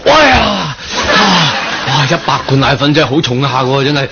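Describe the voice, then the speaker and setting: An actor playing an eager, good-natured young employee, flustered and stammering in a radio comedy. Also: male voice, on the high side, very animated, normal speed, over music. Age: young adult. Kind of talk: improvised radio comedy drama. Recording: dull lo-fi, noisy broadcast.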